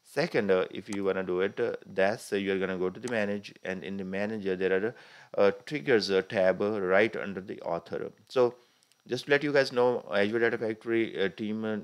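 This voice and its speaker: Low-voiced man talking through a software tutorial. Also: brisk, clear, resonant tone. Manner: frequent "uh" fillers, step-by-step explaining. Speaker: tutorial instructor. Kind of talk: screen-recorded software tutorial. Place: voice-over recorded over the screen capture.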